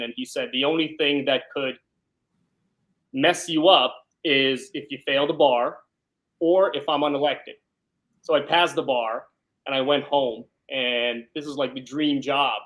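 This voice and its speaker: A man's voice: medium pitch, bright, forceful tone, rough recording. New man explaining in conversation. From born in Ukraine, grew up in South Brooklyn, New York. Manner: talkative, explanatory, often checks with "right?". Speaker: criminal defense lawyer, interview guest. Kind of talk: conversational interview answers.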